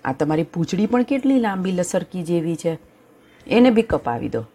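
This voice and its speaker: A woman's voice: on the low side, big pitch swings, moderate pace, mellow, slightly rough, voice pushed up, exaggerated voice. A woman voicing a sweet, clever farm girl. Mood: coaxing. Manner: sweet, teasing, coaxing.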